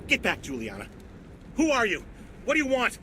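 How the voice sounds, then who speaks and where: A man's voice: wildly swooping pitch, moderate pace, heavily acted. An actor playing a suspicious, officious company man in a video game, curt and defensive. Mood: alarmed, hostile. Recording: noisy rumbling outdoor ambience behind voice.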